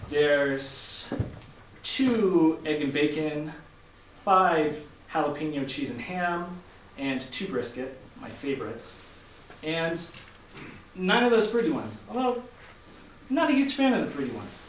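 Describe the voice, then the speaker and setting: A man playing a bemused, hesitant accountant, awkward and halting, in a staged play reading. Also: on the high side, animated. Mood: matter-of-fact. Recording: echoey hall from far off, faint noise.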